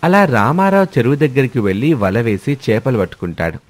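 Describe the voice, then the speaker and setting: A man's voice: mid-range voice, very animated, brisk, dry recording. A man narrating a storybook tale in a plain, matter-of-fact way.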